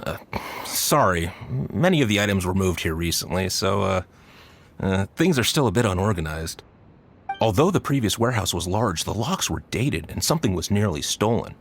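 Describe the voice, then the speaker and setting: Lowish, animated, apologetic guard. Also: male voice, moderate pace, full character voice. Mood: flustered. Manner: hesitant, polite. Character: apologetic, dutiful male warehouse guard, slightly flustered. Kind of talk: anime game voice acting.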